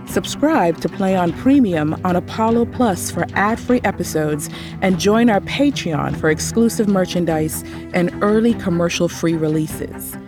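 Woman announcer, somewhat low voice, scripted credits narration, prominent music underneath. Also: a little slow. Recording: music bed behind the voice.

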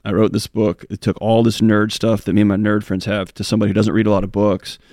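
An earnest man co-hosting a talk show, rambling a little and restarting his sentences as he talks. He speaks quickly in a somewhat low voice. The sound is close and dry. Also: little pitch movement, a little mellow, no room sound. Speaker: co-host. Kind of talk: casual talk show conversation.

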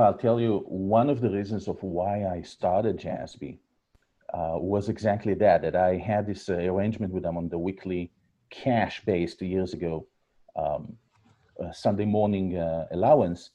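Low, dark-voiced Israeli man. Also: steady tone, moderate pace. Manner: storytelling, many fillers and restarts. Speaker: guest, startup founder. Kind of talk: podcast interview.

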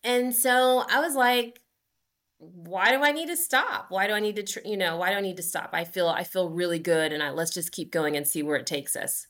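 A woman's voice: on the low side, moderate pace, fairly bright tone. A woman in her forties, a guest on a podcast, talking earnestly and upbeat about her running, with frequent fillers. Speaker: guest, amateur marathon runner. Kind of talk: casual podcast interview.

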